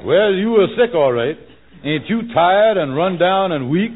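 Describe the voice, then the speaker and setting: An actor playing a scheming, smooth-talking lodge leader in a folksy, wheedling, mock-solicitous manner, in 1940s radio comedy style. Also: male voice, high-pitched, animated, measured pace. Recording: narrow, tinny vintage radio recording.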